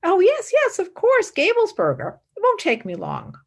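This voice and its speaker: A woman's voice: very high-pitched, huge pitch swings, moderate pace, exaggerated voice. An actress playing a fervent young female philosophy student in a radio play, dramatic and intensely devoted. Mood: eager. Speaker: actor.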